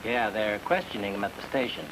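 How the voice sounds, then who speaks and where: An actor playing a businesslike informant making a report in an old-time radio detective drama. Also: male voice, lowish voice, fairly quick, background noise, natural voice.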